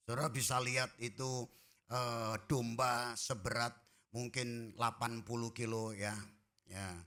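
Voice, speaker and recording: A man preaching to his congregation, his voice bright and forward. He jokes with the people in the pews, then climbs into loud, shouted emphasis before dropping back to quiet asides. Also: mid-range voice. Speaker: pastor. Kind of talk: sermon. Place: church.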